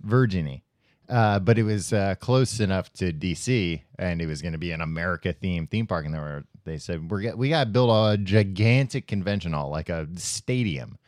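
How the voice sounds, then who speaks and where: A man's voice: deep voice, animated, moderate pace, a little mellow, dry recording, studio quality. A man co-hosting a comedy podcast, trading jokey banter and sometimes slipping into silly cartoon voices. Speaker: podcast co-host.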